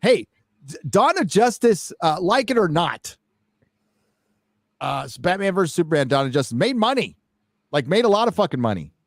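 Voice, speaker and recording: A man hosts a loose chat, reading headlines aloud and breaking off into jokes and curses. His pitch moves a lot, and the sound is dry and close. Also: normal speed, pauses often, no room sound. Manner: animated, swearing, jokey asides. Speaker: host reading news. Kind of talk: casual chat.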